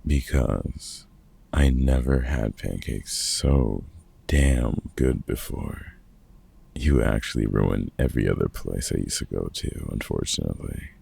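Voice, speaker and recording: Man playing a very deep gravelly vampire hunter. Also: a little slow, dark tone, full character voice. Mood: teasing, fond. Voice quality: gravelly, husky. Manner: dry, teasing, sardonic. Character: gruff, sarcastic older male vampire hunter, grumpy but caring mentor. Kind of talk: audio roleplay acting, comfort ASMR.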